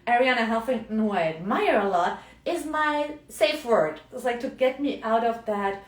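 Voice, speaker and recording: A woman's voice: a little high, expressive, normal speed. A middle-aged woman from Germany with a German accent, a coach talking chattily in a live video, often tagging on "yeah?" and "right?". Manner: chatty, frequent tag questions. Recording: roomy, mic at a distance.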